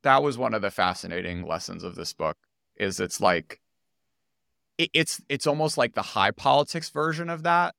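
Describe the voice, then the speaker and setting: A man's voice: lowish voice, lively intonation, normal speed, vocal fry, pushed and bright, no echo, studio quality. A man hosting an interview podcast in a casual, informal way, rephrasing his thoughts as he goes.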